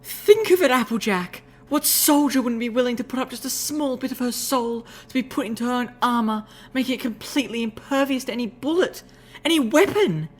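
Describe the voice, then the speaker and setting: A woman's voice: a little high, big pitch swings, a little slow, voice pushed up. A woman voicing a graceful, refined unicorn mare who speaks in a prim, ladylike way. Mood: enthusiastic. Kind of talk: audiobook reading of fan fiction.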